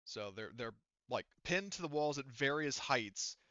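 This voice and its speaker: A man narrating a tabletop game as game master, casually and haltingly, with informal, sweary phrasing. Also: mid-range voice, expressive, pushed and bright, no echo, muffled recording.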